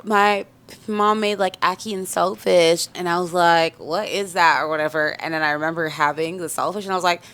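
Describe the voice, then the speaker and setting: A woman's voice: fairly low pitch, steady tone, brisk, a bit bright. A young woman from Canada, a singer whose first language is French, chatting casually in an interview and laughing easily.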